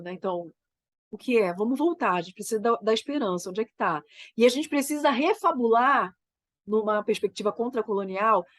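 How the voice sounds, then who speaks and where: A woman with a Brazilian Portuguese accent talks through her theater work, thinking aloud in halting phrases and checking in with her listener as she goes. She is still getting over a cold, and her voice sits at an ordinary middle pitch for a woman. The recording is dry and close, with no room sound. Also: dry recording. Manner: thoughtful, halting, frequent "né?" tags. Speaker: theater creator. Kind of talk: reflective conversation.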